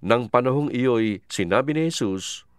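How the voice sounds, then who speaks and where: A man narrates a scripture drama in a measured, formal style. His mid-pitched voice is crisp and resonant, recorded dry with little room sound. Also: animated, dry recording, soft background music.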